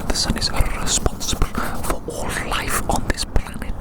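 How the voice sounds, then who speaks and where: Someone whispering softly and slowly to you as their little creature in an outdoor ASMR video, repeating each gentle tease as they shelter you in a city park. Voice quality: soft, breathy unvoiced whisper. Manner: slow, repeats phrases, gently teasing. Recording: close whisper, windy outdoor recording.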